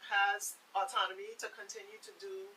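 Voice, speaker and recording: Bright-voiced woman advising, slightly off-mic. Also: medium pitch, big pitch swings, moderate pace. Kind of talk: conversational advice. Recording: slightly distant with faint hiss.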